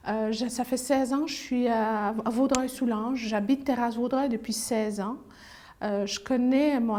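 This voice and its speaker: Hesitant woman, warm voice. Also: fairly high pitch. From Belgium. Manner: hesitant, many fillers and restarts. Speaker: business owner, interview guest. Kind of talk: interview answers.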